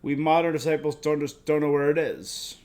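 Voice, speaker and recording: A man's voice: a little high, moderate pace, darkish tone, close to an everyday voice. A man reading game dialogue aloud as a proud, upright old martial arts master, earnest and formal.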